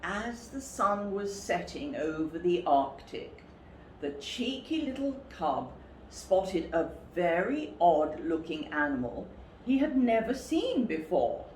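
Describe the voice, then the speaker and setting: A woman reading a children's story expressively, with a dramatic, deliberate delivery. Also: lowish voice, sing-song, darkish tone. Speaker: storybook reader for a school story time. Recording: roomy, distant mic, faint background noise.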